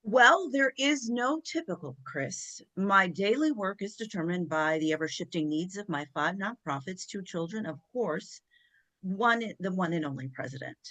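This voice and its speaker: Brief: actress for overachieving First Lady, slightly low register, smug yet gracious, composed, comic play. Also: lively intonation, normal speed, a bit bright, poor audio. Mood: smug, polished. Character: polished, self-satisfied, overachieving First Lady. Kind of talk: comedy play table read.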